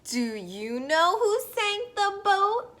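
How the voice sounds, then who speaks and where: Animated high-voiced woman reading to children. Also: takes it slowly. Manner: expressive, sing-song, prompting young listeners with questions. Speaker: teacher reading a picture book aloud to children. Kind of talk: children's storybook read-aloud with questions to listeners.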